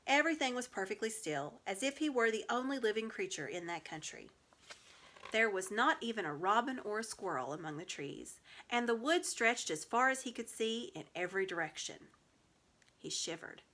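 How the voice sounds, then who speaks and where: Female storybook reader, expressive read-aloud, medium pitch with very lively intonation.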